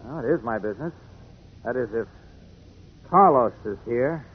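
An actor playing a brisk, confident newspaper reporter who questions and commands, in 1940s radio style. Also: male voice, medium pitch, lively intonation, slightly rough. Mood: insistent, casual. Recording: noisy, muffled old radio recording.

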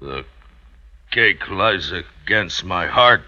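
A crackly, muffled vintage radio recording of a dull-witted husband. He speaks very slowly and haltingly in a deep voice, ponderous and simple. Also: lively intonation, bright, forceful tone, full character voice. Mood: labored. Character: slow, heavy, dull-witted husband who loves food. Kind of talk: old-time radio drama acting.

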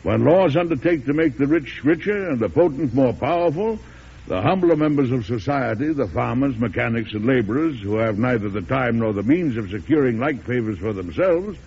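Blustery old American president. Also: male voice, medium pitch, lively intonation, fairly quick, a little mellow, husky. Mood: oratorical. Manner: blustery, emphatic, oratorical. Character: gruff, hot-tempered old male American president, hearty and commanding. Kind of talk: 1940s-50s radio drama acting. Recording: dull vintage radio recording.

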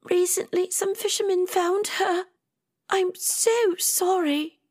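A woman voicing a tender, emotional woman friend with gentle, simple dialect speech in an audiobook. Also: high voice, a little slow, voice pushed up. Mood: tearful.

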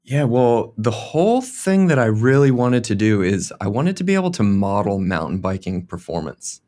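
An American man in his thirties, a sports scientist answering interview questions in a relaxed, casual way with frequent fillers. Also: fairly low pitch, normal speed. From United States. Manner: casual, uses many fillers like "like" and "kind of".

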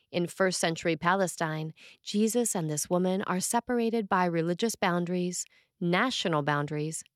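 A woman priest reading a scripted sermon in a measured, earnest way with dramatic emphasis on key phrases. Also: lowish voice, no echo, clean studio sound.

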